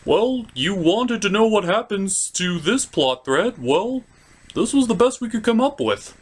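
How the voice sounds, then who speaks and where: Man voicing a defensive, high-pitched puppet frog. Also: lively intonation, unhurried, slightly rough, heavily acted.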